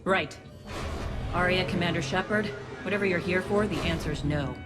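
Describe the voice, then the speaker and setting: A woman's voice: on the low side, moderate pace, natural voice. A woman voicing a principled, guarded alien gang leader in a video game, speaking with firm command. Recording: loud music under the voice.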